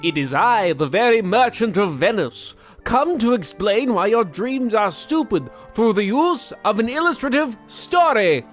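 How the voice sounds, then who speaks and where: Horror-anthology host parody male actor: pompous, theatrical, very animated pitch, faint music underneath. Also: measured pace, hardly any pauses. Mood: pompous, grand. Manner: pompous, theatrical, mock-grave. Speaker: host narrator. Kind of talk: old-time horror radio host parody.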